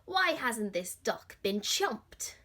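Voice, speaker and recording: A woman reading aloud, voicing a pack of fierce lions in a loud, menacing way. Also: medium pitch, sing-song, slow pace, full character voice.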